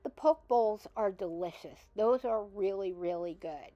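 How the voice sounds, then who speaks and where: A woman's voice: medium pitch, unhurried, dry recording, lo-fi sound. A middle-aged woman hosting a shopping vlog, chatting in an upbeat, enthusiastic voice-over. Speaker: vlog host.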